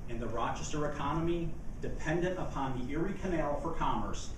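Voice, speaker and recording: A man delivering a humorous tall-tale speech to a room, in a deliberate, theatrical storytelling manner with dramatic pauses. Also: fairly high pitch, recorded from a distance. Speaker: speech contest speaker. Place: indoor meeting room before an audience. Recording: echoey room from afar, faint noise.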